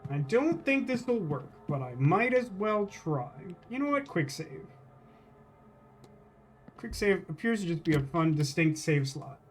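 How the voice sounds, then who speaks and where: A man with a slightly high-pitched voice commentates his game live over faint music. He talks to viewers casually and thinks out loud in a wry, rambling way. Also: very animated, measured pace. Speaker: video game livestreamer.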